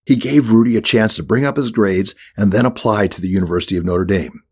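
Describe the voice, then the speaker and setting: A man's voice: lowish voice, quick pace, darkish tone, husky. A man reading a scripted children's audiobook as the narrator.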